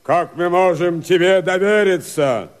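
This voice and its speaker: An actor playing a wary, suspicious rebel in a camp, speaking deliberate theatrical verse in a radio drama. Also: male voice, high voice.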